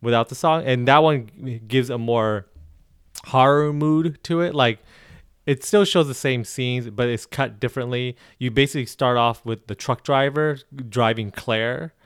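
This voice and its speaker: A man's voice: medium pitch, normal speed, clean tone, no echo. A man co-hosting a casual podcast, talking in rambling runs with frequent ums and restarts, recorded close on a podcast mic. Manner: rambling, self-correcting, many ums. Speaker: podcast co-host. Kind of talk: casual chat.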